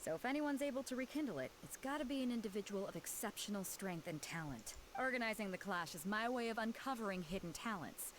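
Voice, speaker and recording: A woman's voice: medium pitch, lively intonation, quick pace, hardly any pauses, noisy background, natural voice. A woman playing a breezy, self-assured captain who talks persuasively, in an anime video game. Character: confident, breezy woman captain organizing a fighting tournament.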